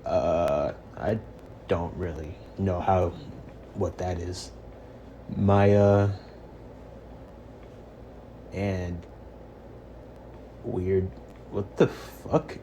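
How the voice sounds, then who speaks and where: A man's voice: low voice, level tone, extremely slow, long pauses, warm tone, a little hiss or hum, poor audio. A man casually reacting as a video host, offhand and muttering.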